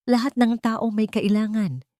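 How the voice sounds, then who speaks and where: A woman's voice: fairly high pitch, fairly even intonation, a little slow, mellow, dry recording, clean studio sound, voice pushed up, lightly acted. A woman voicing a cold, proud billionaire businessman who speaks tersely, as if giving orders, in an audio drama. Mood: testing, insistent. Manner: terse, commanding.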